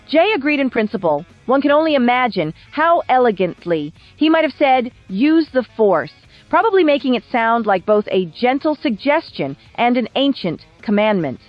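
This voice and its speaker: A woman reads scripted documentary narration in a fairly high, animated voice. Her phrasing is measured and polished, with wry touches, and soft music plays behind her. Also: no room sound, highs cut off. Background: background music.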